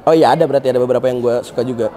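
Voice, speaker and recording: Man, 26, mellow, relaxed, slangy. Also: medium pitch, racing. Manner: laid-back, laughing slang. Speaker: interviewee. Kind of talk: casual slangy interview answers.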